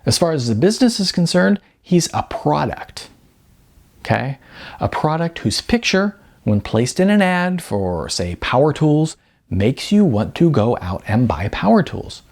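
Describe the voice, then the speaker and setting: Audiobook role: blunt, chatty female modeling agent, played by a man, lifted pitch, very lively delivery, gravelly edge. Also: unhurried, full character voice. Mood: matter-of-fact, emphatic. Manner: emphatic, patronizing, chatty, uses endearments. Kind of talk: audiobook character dialogue.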